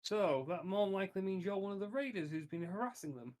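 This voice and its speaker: A man's voice: high voice, expressive, brisk, darkish tone. A man talking bluntly and insistently as a player in a tabletop roleplaying game.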